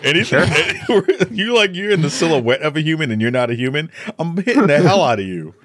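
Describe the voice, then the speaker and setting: A man's voice: a little high, sing-song, normal speed, fairly bright tone, no echo, clean studio sound. A man from the Bronx, a podcast guest who chats casually and comedically, exaggerating and readily laughing as he talks. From the Bronx, New York.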